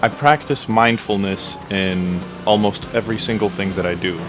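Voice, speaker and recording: A man in his early thirties answers questions in a casual, conversational way. His voice sits fairly low, and loud music plays behind him. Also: fairly even intonation, moderate pace. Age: early 30s. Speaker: fitness influencer answering viewer questions.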